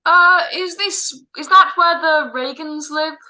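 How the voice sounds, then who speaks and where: Woman as a high, piercing, flustered stranger. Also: slow, sharp tone, heavily acted, rough recording. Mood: flustered, apologetic. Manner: hesitant, apologetic, stammering. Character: flustered, polite stranger asking for directions. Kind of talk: old-time radio drama acting.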